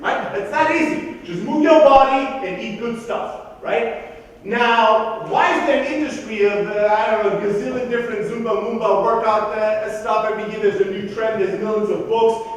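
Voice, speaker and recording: A man in his thirties from Greece, raised in southern Germany, giving a blunt, profane, energetic keynote talk at a conference. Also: extremely high pitch. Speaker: conference speaker. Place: large conference hall. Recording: echoey hall from far off.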